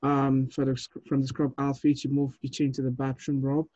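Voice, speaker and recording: A man in his thirties leading an informal meeting as a film director, giving halting instructions over a video call. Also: medium pitch, completely flat delivery, mellow, no room sound. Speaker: short film director leading a table read. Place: indoor room, on a video call. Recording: dull, muffled video call audio.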